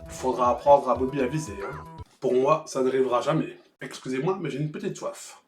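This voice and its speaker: A man's voice: medium pitch, brisk. A man giving humorous scripted video game review narration, sarcastic and foul-mouthed. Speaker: host of a retro video game review show. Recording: roomy echo, music behind.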